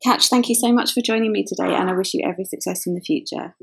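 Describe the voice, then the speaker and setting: A woman's voice: mid-range voice, fast talker, vocal fry, husky. A woman hosting a travel podcast interview, asking friendly, encouraging questions. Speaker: podcast host.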